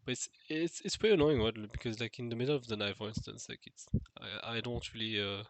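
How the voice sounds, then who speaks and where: A man's voice: medium pitch, fast, a little hiss or hum, low-quality recording. A man with a nasal, stuffed-nose voice musing alone to the camera in an unscripted vlog, hesitant, with many fillers and restarts. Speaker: vlogger. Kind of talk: unscripted solo monologue.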